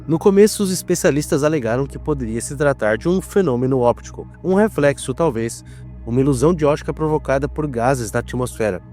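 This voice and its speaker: A Brazilian man narrates a scripted horror documentary in a mid-pitched voice. His delivery is solemn and measured, with dramatic weight, and soft music plays underneath. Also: lively intonation.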